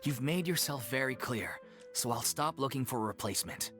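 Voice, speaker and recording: A worried theatre leader acted by a man speaks with expressive, moving pitch. He is stern yet conciliatory, in video game style. Faint music plays behind him. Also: brisk, natural voice. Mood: stern, resigned.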